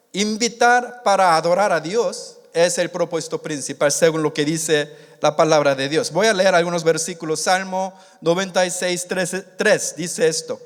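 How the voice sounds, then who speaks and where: A man born in Korea and raised in the United States, preaching a sermon in a halting way, with many restarts, and stretching out the key words. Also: sits high. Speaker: missionary preacher. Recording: long hall reverb.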